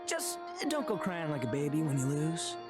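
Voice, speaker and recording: Boisterous, wildly animated young gang boss. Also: male voice, a little high, moderate pace, over music. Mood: cocky challenge. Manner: swaggering, brash, slangy. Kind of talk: video game voice acting.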